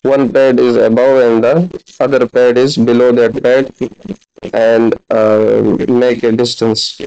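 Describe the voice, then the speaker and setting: A man in his thirties giving a halting, instructional practical demonstration as a physiotherapy teacher. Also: mid-range voice, flat delivery, mellow, slight background noise. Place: large indoor classroom clinic room. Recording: dull, lo-fi camera audio.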